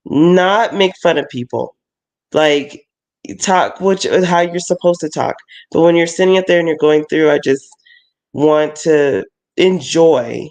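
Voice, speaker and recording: A woman who performs at haunted attractions, talking in a relaxed, rambling way with lots of fillers and restarts. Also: low-pitched. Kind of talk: casual chat.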